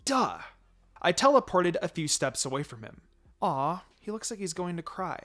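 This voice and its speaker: A man reading fan fiction aloud as a dramatic, expressive first-person narrator, acting out the dialogue. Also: high voice, moderate pace.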